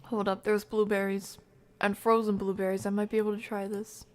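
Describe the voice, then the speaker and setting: A woman hosting a game stream, chatting casually and playfully with viewers in an informal, slangy way, her voice often creaky and sometimes soft. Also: medium pitch, level tone, fairly quick. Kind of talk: casual chat with live viewers.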